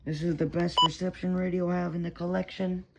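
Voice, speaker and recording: A man's voice: high voice, level tone, brisk, vocal fry. A man with a Texan accent, a radio hobbyist rambling casually and cursing now and then as he talks about his radios at home. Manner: rambling, profane, self-interrupting. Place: bedroom at home. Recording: lo-fi noisy home recording.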